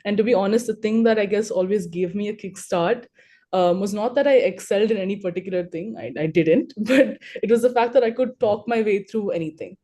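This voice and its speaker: Chatty young woman, dark mellow voice. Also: medium pitch, brisk. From Hyderabad, India. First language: Telugu. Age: 20s. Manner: chatty and confident, rambling with fillers. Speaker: alumni panelist. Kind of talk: conversational talk on a video call.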